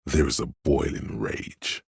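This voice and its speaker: An actor playing a stern, commanding inner voice of authority in a video game, speaking in a grave, imperious, theatrical way. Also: male voice, extremely low pitch, slow, vocal fry, husky, no echo, studio quality, heavily acted. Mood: measured, wary. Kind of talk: dramatic scripted game narration.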